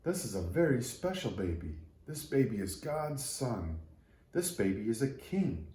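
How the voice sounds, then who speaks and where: A man's voice: mid-range voice, big pitch swings, measured pace, mellow. A man voicing a small, scrawny wooden tree in a children's storybook, eager, earnest and full of wonder. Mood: awed, grateful. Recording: roomy echo.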